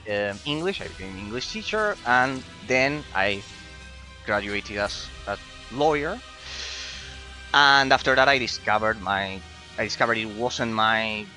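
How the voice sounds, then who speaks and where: A man's voice: on the low side, sharp tone. A man from Argentina with an Argentine Spanish accent, a native Spanish speaker, chatting casually and very politely with live-stream viewers and often repeating words for emphasis. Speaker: game streamer. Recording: faint game music behind voice. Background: faint music.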